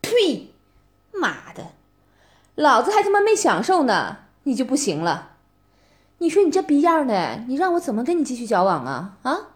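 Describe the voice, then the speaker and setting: Woman performing a scornful young ex-girlfriend, high voice, sneering, mocking, lively swings in pitch, audio roleplay. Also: moderate pace. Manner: sneering, mocking, profane, keeps taunting with "啊？". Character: scornful young woman berating her ex-boyfriend. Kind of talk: one-voice audio roleplay addressing the listener.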